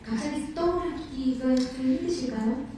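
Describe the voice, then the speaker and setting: A woman hosting a press conference, asking questions in a lively manner in a hotel ballroom. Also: high voice, moderate pace, a little hiss or hum. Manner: lively, bright-toned questioning. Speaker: press event host. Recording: echoey ballroom, distant mic.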